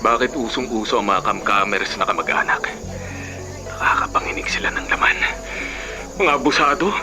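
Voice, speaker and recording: An actor playing a blunt, easily exasperated young elder brother with a Filipino accent in a radio drama. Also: male voice, fairly low pitch, expressive, moderate pace, music in the background, close to an everyday voice. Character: indignant elder brother fed up with greedy relatives.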